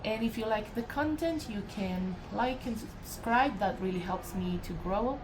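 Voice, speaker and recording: A woman from Colombia with a Colombian Spanish accent, speaking English as a second language, giving a casual spiritual channeling message with many pauses, ums and restarts. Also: mid-range voice, background noise.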